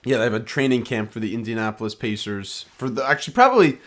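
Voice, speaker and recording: Young man, podcast co-host, loose rambling delivery, joking, mid-pitched voice. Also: animated, fast talker. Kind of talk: casual sports chat.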